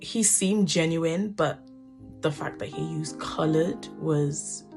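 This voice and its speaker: A young woman from Nigeria with a gravelly voice, speaking reflectively to camera. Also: deep voice, takes it slowly. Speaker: interviewee. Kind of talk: personal testimony to camera. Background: guitar music.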